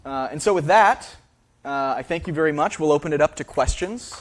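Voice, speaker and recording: A man's voice: a little high, fairly quick. Man answering audience questions. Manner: casual, many fillers and restarts. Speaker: speaker, linguist. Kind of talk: lecture Q&A answers. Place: theater.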